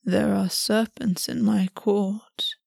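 A woman reading the part of a measured, cold-edged male prince in a fantasy audiobook, speaking slowly and softly. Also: medium pitch, steady tone, vocal fry, no room sound, studio quality, exaggerated voice. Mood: grave.

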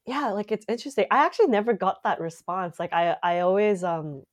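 A woman's voice: medium pitch, fairly quick. A young woman from Canada, a playwright, talking casually in an interview with many filler words. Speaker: playwright guest. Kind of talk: conversational interview.

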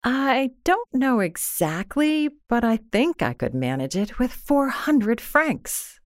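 A woman's voice: on the high side, sing-song, slow pace, no echo, clean studio sound, voice pushed up. A woman voicing a vain, discontented young wife in a storybook reading, with a plaintive, emotional manner. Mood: hesitant.

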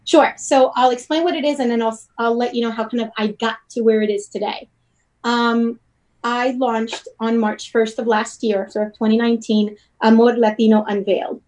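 A woman from Puerto Rico speaks as a guest in an interview. Her voice sits a little high. She explains things in a casual, conversational way. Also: steady tone, normal speed, darkish tone. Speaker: interview guest, wedding photographer and blogger.

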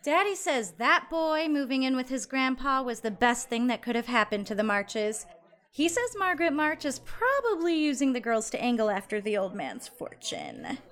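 A high-pitched, snobbish rich girl. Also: very animated, moderate pace, fairly bright tone. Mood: catty, disdainful. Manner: haughty, catty. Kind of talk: modern audio drama acting.

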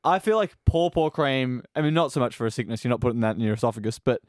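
A man's voice: medium pitch, expressive, quick pace, a little mellow, dry recording, studio quality. A man co-hosting a podcast in casual chat, laughing often and talking to listeners at home. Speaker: podcast co-host.

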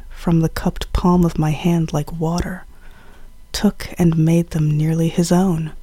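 Hushed, low-voiced woman reading slowly. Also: no room sound. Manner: hushed, breathy, deliberate delivery. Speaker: story reader, performer. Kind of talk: literary first-person scripted narration.

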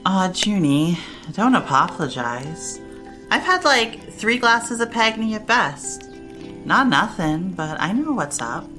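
Woman acting a bright, breezy, slangy party girl. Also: medium pitch, very animated, slow pace, faint music behind, heavily acted. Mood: reassuring, breezy. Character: Roxy, breezy supportive party-loving young woman, recovering alcoholic. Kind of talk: fandub audio drama dialogue.

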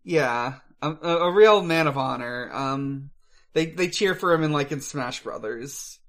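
A man co-hosting a podcast, casually recapping a film in a halting way, searching for words. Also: mid-range voice, animated, a bit bright. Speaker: podcast co-host.

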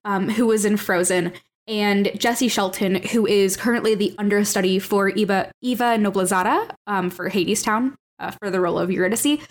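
A woman co-hosting a podcast, chatting enthusiastically and swearing casually. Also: mid-range voice. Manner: enthusiastic, swears freely, laughs often. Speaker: podcast co-host.

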